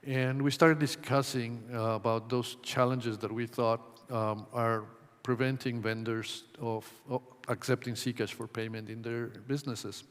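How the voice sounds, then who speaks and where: A man from Ecuador giving a conference talk in a measured way, with many ums and uhs. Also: mid-range voice, little pitch movement. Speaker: conference presenter. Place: conference hall. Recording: long hall reverb.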